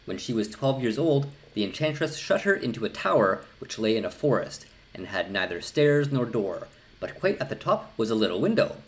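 Male storybook narrator, middle-aged, animated range. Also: fairly low pitch, normal speed, hardly any pauses, low-quality recording. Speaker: storyteller reading a fairy tale aloud. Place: small indoor room.

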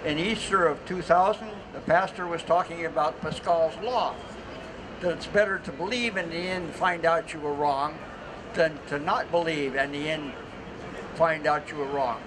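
A man's voice: fairly high pitch, normal speed. Older man reading a speech outdoors. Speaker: festival speaker. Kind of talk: read prepared speech. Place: outdoor city plaza stage. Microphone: mic on stand through PA. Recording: outdoor street noise.

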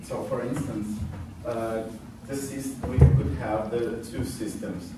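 A young Brazilian-accented man teaches a class, speaking slowly and haltingly with plenty of fillers. His lowish voice is caught by a camera at the back of a very echoey classroom. Steady room noise runs underneath. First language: Portuguese. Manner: hesitant, many fillers and restarts. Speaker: student teaching a practice class. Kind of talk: classroom lesson. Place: classroom with whiteboard. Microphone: far camera at back of room. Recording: echoey room from far off, noisy.

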